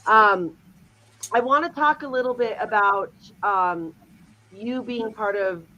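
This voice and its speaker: A woman's voice: medium pitch, slow pace, sharp tone, lo-fi sound. A woman in her fifties, co-hosting a podcast in a casual, enthusiastic chat over a video call. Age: 50s. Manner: enthusiastic, chatty. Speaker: podcast co-host. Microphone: remote video call.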